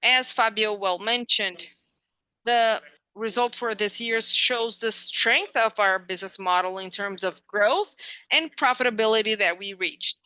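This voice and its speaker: A woman working as a live interpreter on a corporate earnings call, delivering it haltingly, phrase by phrase. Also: mid-range voice, bright, forceful tone, clean tone.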